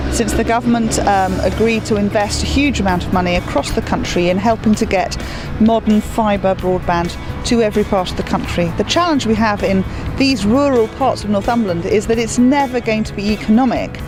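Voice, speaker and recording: A woman who is a parliamentary candidate, speaking forcefully and emphatically in a campaign video, recorded outdoors. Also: mid-range voice, a little fast, hardly any pauses. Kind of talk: campaign video, addressing camera. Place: outdoors, village and town. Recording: music bed behind.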